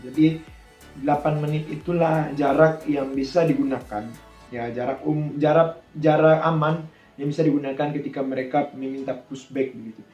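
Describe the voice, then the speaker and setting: A man teaches in a soft-edged, mellow voice at a middling pitch. He explains informally, thinking aloud and asking himself questions along the way. The room is clearly echoey, with some music behind him. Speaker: air traffic controller presenting a tutorial.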